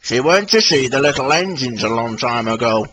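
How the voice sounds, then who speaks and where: A kindly, stout railway boss, as a man puts him on for a storybook. He speaks firmly and a little louder than a plain reading voice. His tone is fatherly. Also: medium pitch, lively intonation, moderate pace, husky.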